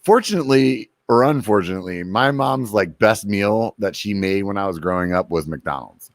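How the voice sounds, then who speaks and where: A man in his forties chats on his podcast, joking as he acts out his snack cravings in quick quoted asides. His voice sits low and gravelly. The sound is dry and close, with no room to it. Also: animated, dry recording. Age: 40s. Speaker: podcast host. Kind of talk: casual chat.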